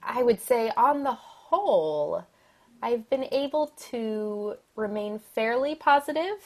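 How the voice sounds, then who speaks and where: A woman from the Philadelphia area with an American accent, a violin soloist talking thoughtfully and positively as a podcast guest, often pausing with ums and soft laughs. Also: on the high side, expressive. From Philadelphia area, USA. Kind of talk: podcast interview.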